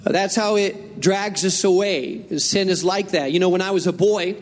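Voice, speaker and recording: A man from northern Spain preaches with a bright, high-pitched voice. He speaks earnestly and conversationally and circles back to drive his points home. His voice carries a long echo through a large church hall. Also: normal speed, slight background noise. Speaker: pastor. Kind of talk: sermon.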